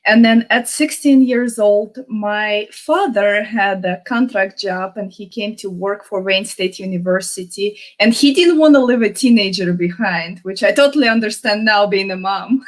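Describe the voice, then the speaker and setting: A woman's voice: medium pitch, moderate pace, hardly any pauses. A woman in her thirties, raised in Russia and speaking English as a second language, chatting upbeat as an interview guest with many fillers. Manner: chatty, upbeat, with frequent "you know" fillers. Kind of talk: casual interview.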